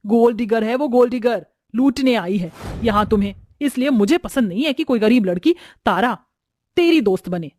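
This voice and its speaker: A woman's voice: fairly high pitch, animated, moderate pace, darkish tone, no echo. Haughty scolding mother-in-law, put on by a woman. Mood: scornful. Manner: scolding, contemptuous, bossy. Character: haughty, suspicious middle-aged rich mother-in-law, scornful of the poor.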